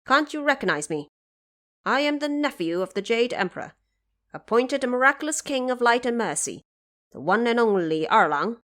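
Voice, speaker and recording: A woman's voice: fairly high pitch, sing-song, moderate pace, a bit bright, pitched above the performer's own voice. A woman playing a proud, indignant young male warrior god in an audio drama, haughty and commanding. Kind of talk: audio drama character acting.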